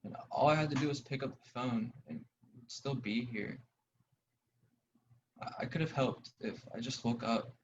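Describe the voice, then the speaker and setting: A man's voice: medium pitch, moderate pace, long pauses, natural voice. Dull lo-fi audio with faint noise, actor as grieving young man, self-doubting, unsure, apologetic, drama dialogue. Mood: grief, guilt. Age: young adult. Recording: dull, noisy lo-fi audio with clicks.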